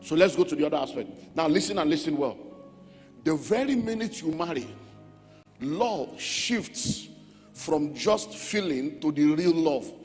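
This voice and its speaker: Male preacher, Nigerian accent, fairly high voice, emphatic and repetitive teaching style, long hall reverb. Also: expressive, unhurried, a little mellow. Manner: emphatic, repeats key phrases, checks in with listeners. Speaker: pastor. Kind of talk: sermon teaching on relationships. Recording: echoey hall with music underneath.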